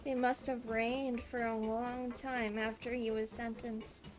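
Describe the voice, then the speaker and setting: A woman's voice: fairly high pitch, little pitch movement, unhurried. A woman streamer giving casual, emotive reactions and exclamations as she plays through a video game. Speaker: gaming streamer.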